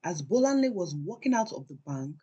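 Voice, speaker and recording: A woman narrating a scripted folktale as a storyteller, in a deliberate, dramatic way with pauses. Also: fairly low pitch, very animated, warm tone, slightly rough, highs cut off.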